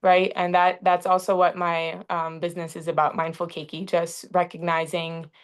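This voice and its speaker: Earnest young woman with smooth voice. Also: lowish voice, flat delivery, normal speed. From Hawaii. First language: English. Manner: earnest, frequent "um" fillers. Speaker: panel facilitator. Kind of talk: reflective panel talk.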